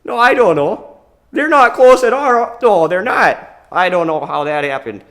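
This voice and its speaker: A man's voice: high-pitched, measured pace, a bit bright, pitched above the performer's own voice. A man putting on a folksy, bemused backwoods man.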